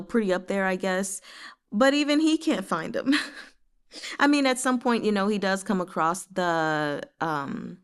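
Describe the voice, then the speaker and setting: Smooth, clear-voiced woman chatting about books. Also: medium pitch. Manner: expressive, digressive, giggly asides. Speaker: host of a book read-along. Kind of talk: casual chatty book discussion.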